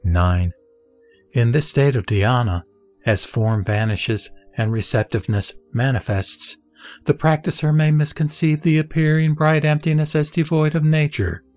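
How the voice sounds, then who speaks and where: A man reading a Buddhist scripture aloud in a measured, solemn recitation. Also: on the high side, darkish tone, soft background music. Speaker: sutra reader.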